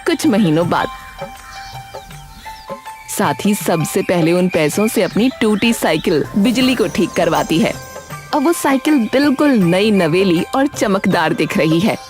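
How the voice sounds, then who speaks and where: A woman's voice: mid-range voice, animated, measured pace, darkish tone, faint music behind. A woman narrating a scripted cartoon story.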